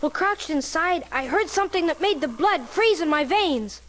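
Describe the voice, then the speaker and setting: A man's voice: extremely high pitch, animated, moderate pace. A young male performer playing an earnest, eager cabin boy who narrates and acts in a storybook record with a youthful voice. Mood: earnest narrating. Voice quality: youthful, boyish. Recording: loud music behind the voice.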